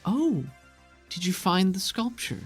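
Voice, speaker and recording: Serene older mother for a tabletop game, played by a man; gentle, muted, raised pitch, calm delivery. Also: takes it slowly, slightly rough, faint music behind, exaggerated voice. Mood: gently curious. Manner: calm, gentle, softly hesitant.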